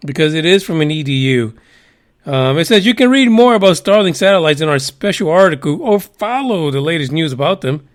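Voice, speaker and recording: A man hosting a casual news podcast, cursing freely, laughing at himself and drifting into rambling asides. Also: high voice. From United States. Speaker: podcast host.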